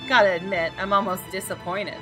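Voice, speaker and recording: A woman's voice: medium pitch, steady tone, fairly quick, lightly acted, low-quality recording. A woman reading visual novel narration dramatically, voicing the thoughts of an arrogant, menacing gun owner. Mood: arrogant, brooding. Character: haughty, menacing gunman homeowner narrating his thoughts. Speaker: gaming video host. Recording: clear music behind the voice.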